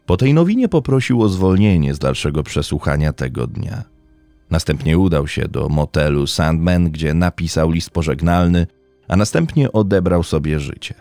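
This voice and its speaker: A man narrating a scripted true crime story as podcast host, in a dramatic, suspenseful style with deliberate phrasing. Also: low-pitched, animated, mellow, dry recording, soft background music.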